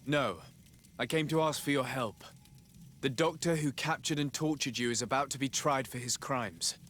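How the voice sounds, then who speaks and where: An actor playing a composed, formal noble envoy who asks polite, pointed questions in a fantasy game. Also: male voice, mid-range voice, moderate pace, fairly bright tone, slight background noise, natural voice. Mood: earnest. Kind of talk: scripted fantasy game acting.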